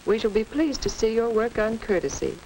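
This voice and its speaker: A woman playing a courteous, encouraging American mother in a 1940s instructional film, speaking in a measured, formal way. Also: on the high side, big pitch swings, mellow. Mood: gracious, encouraging. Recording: noisy 1940s educational film soundtrack.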